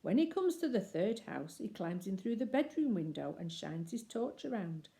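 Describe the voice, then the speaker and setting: A woman reading a children's story aloud expressively, with deliberate phrasing and emphatic pauses. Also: medium pitch, big pitch swings, gravelly, mellow.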